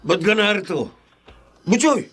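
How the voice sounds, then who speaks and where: A man's voice: high voice, animated, unhurried, fairly bright tone, husky, exaggerated voice. An actor playing a hot-headed but remorseful working-class father in a Tagalog radio drama, middle-aged, with a Filipino accent. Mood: belligerent.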